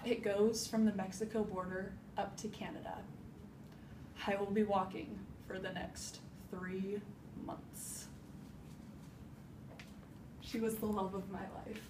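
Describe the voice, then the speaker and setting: A grieving woman searches herself aloud in a stage monologue, acted by a young woman. She is raw yet resolute, speaking slowly with weighted pauses. The sound is roomy and distant from the microphone. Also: mid-range voice. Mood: determined. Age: young adult. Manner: slow, deliberate, emphatic phrases with heavy pauses. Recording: roomy echo, distant mic, faint noise.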